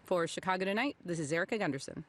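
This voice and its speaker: Expressive low-voiced woman reporter. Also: rapid-fire, slight background noise. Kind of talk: scripted news narration.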